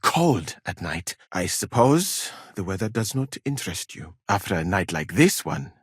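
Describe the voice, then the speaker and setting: Scholar far from home, game character, polite n wry, bit husky, super swoopy intonation. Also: male voice, sits low, sing-song, moderate pace, no echo, clean studio sound, full character voice. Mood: wry complaint. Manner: formal careful phrasing, wry and theatrical. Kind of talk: game voice lines, expressive acting.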